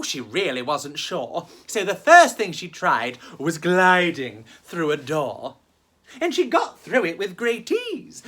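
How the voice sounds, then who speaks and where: A woman's voice: mid-range voice, a bit bright. A woman telling rhyming children's stories in a dramatic, playful way, speaking straight to her listeners. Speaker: children's storyteller and host. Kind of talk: theatrical rhyming storytelling for children.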